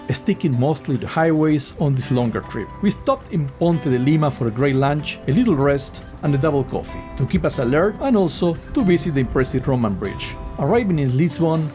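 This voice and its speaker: A man with an Argentine accent giving a measured, scripted voice-over for a travel vlog. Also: a little high, dark tone. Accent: Argentine Spanish. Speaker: travel vlogger. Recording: voice-over with guitar music behind. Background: guitar music.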